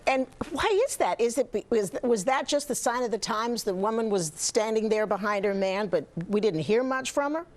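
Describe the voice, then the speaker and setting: A woman's voice: on the high side, expressive, moderate pace, hardly any pauses. A woman news anchor presenting and asking questions in a broadcast interview.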